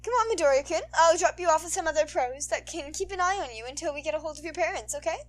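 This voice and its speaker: Woman putting on a high, honeyed playful superheroine. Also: expressive, fast. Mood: friendly, reassuring. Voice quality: soft, honeyed. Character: soft, authoritative, playful adult superheroine, kind to children.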